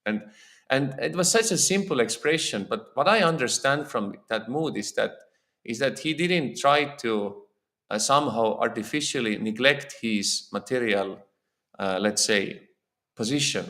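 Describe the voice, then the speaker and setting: A man's voice: mid-range voice, expressive, measured pace, a bit bright. A man with an Estonian accent gives an informal religious lecture in a temple, speaking earnestly and often repeating words while he searches for phrasing. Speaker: lecturer on scripture. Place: temple hall.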